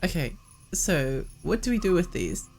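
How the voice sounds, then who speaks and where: A woman's voice: fairly low pitch, big pitch swings, normal speed, creaky, darkish tone. A woman livestreaming a video game, chatting casually and expressively, her voice worn and prone to cracking. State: tired, cracking voice from losing it. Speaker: live game streamer. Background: game music.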